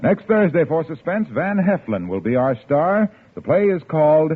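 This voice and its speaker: A man's voice: fairly high pitch, very animated, moderate pace. An American man announcing on a 1940s radio broadcast, with a polished, emphatic scripted delivery. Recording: tinny vintage radio broadcast, music behind.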